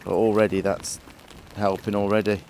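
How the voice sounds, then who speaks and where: Low-voiced man training a horse outdoors. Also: flat delivery, moderate pace, background noise. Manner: practical, explains step by step. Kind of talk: explaining while working. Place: outdoors in a paddock.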